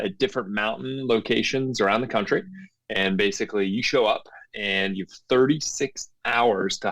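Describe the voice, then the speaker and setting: A man in his thirties with a low voice answers casually and with many fillers. The recording is dry and close, with no room sound. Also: no echo, low-quality recording. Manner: relaxed, hesitant with many fillers. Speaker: guest, real estate investor and coach.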